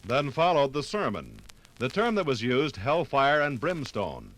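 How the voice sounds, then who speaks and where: A man's voice: medium pitch, wildly swooping pitch, unhurried, fairly bright tone, rough recording. An American man giving grand, formal scripted narration for a 1950s radio documentary. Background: faint music.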